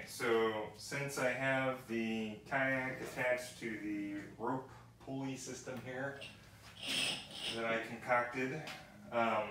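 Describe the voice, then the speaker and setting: A middle-aged man giving casual, halting, unscripted how-to narration while thinking aloud. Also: medium pitch, bright, forceful tone, a little hiss or hum. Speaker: vlogger demonstrating a DIY setup. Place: indoors in a cluttered home garage. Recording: echoey garage, faint music.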